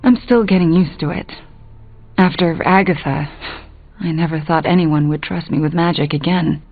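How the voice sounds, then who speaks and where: A woman's voice: low-pitched, moderate pace. A woman playing a self-doubting young sorceress apprentice in a video game, earnest and hesitant. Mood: insecure. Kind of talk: video game character dialogue. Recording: muffled phone-like band, music behind.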